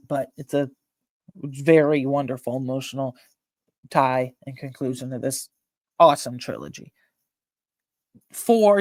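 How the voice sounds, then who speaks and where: A man hosting a film ranking video, talking informally and emphatically with slang and a halting, opinionated delivery. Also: on the high side, no room sound. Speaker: video host ranking films.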